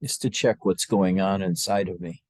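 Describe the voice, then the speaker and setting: A man leading a guided meditation as a spiritual teacher, speaking to a group in a slow, halting, unscripted way with long pauses. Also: deep voice, steady tone, a little mellow, no echo.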